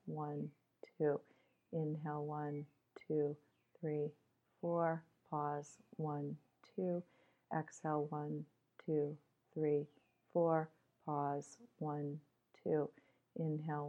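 Slow, low-voiced woman guiding meditation. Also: phone-quality sound. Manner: measured, instructional, with deliberate pauses. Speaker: meditation guide. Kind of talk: guided meditation.

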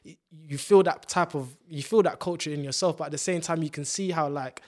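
A man's voice: a little high, fairly quick, a little mellow. A young man from the UK, talking about family and migration in a casual, earnest and reflective way. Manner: earnest, reflective, trails off and restarts. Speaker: podcast guest. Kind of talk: casual chat.

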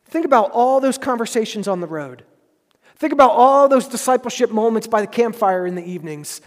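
A man preaching a sermon as pastor, earnest and emphatic, repeating phrases for stress. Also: very high voice. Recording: preached in a hall with long reverb.

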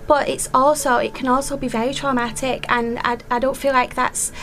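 A woman's voice: high voice, flat delivery, brisk, fairly bright tone, a little hiss or hum. A woman, a midwife guest, speaking earnestly with frequent ums. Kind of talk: interview conversation.

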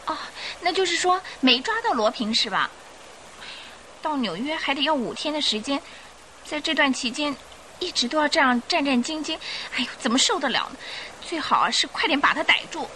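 A woman's voice: high-pitched, animated, fairly quick, pushed and bright, a little hiss or hum, low-quality recording. An actress playing an anxious young society lady in an old-style radio drama. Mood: anxious, fretful.

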